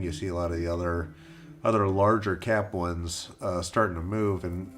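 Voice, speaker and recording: A man hosting a casual crypto livestream, rambling with lots of fillers and restarts while he loses his voice. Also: low voice. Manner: reads viewer questions aloud. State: losing his voice.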